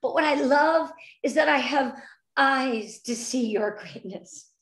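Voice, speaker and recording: A woman in her fifties talks with effusive, earnest warmth and a restless nervous energy, her voice sitting a little high. She is heard over a video call, and the room around her gives it a noticeable echo. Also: slow pace. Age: 50s. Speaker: interview guest. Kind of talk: emotional heartfelt interview.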